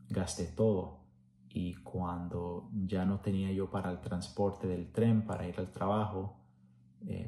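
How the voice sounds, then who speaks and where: A man with a deep, gravelly voice presents himself and his own experiences to camera. His tone is dark and mellow. The room around him echoes. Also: a little hiss or hum. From United States. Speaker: employee volunteer presenter. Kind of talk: personal introduction and anecdote to camera. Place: small room at home, indoors.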